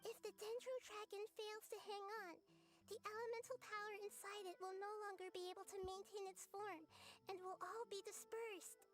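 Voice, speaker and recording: A woman voicing a young girl who is a wise, gentle god, speaking softly and earnestly in a video game. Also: extremely high pitch, steady tone, normal speed. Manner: soft, thoughtful and earnest.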